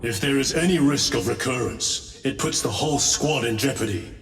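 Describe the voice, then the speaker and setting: An actor playing an earnest, suspicious space marine sergeant in a video game, with a formal, pointed delivery. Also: male voice, medium pitch, normal speed, fairly bright tone, lightly acted. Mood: formal, dutiful. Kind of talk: dramatic video game cutscene acting. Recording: echoey hall, distant mic, faint music.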